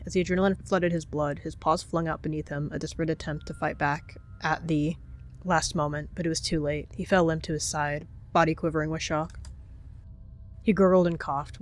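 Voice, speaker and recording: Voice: mid-range voice, slight background noise. A storyteller giving dramatic third-person narration of characters in a roleplayed animal story.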